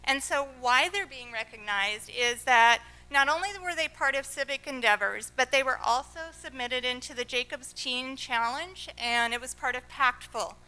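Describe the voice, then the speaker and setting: A woman teacher presenting students at a board meeting, projecting her voice loudly and upbeat. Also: high-pitched, moderate pace, few pauses, clean tone, low-quality recording. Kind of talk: ceremony presentation. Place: school board meeting room.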